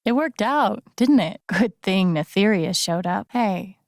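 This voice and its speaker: An actress playing a kind, playful young woman in a video game, speaking in a casual, gentle, naturalistic way. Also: mid-range voice, lively intonation, unhurried, dry recording, studio quality. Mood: casual, upbeat. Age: young adult.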